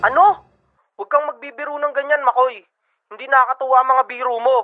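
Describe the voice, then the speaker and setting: Man as anxious dad on long-distance phone, very high voice, tinny dry line, radio drama. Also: fairly even intonation, fast, loud music behind the voice. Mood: worried. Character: worried father phoning his child from abroad. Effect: telephone filter. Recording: thin, dry telephone line.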